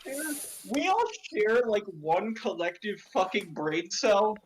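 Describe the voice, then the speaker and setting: A man's voice: sits high, sing-song, slow pace, a little hiss or hum, poor audio. A man joking and swearing casually while reading a part in a group script read. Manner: joking, profane.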